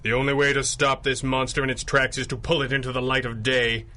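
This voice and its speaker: An actor playing a stern, commanding masked superhero in a 1930s-style radio drama, grave and authoritative. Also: male voice, medium pitch, level tone, fairly quick, sharp tone, lightly acted. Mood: urgent, grave.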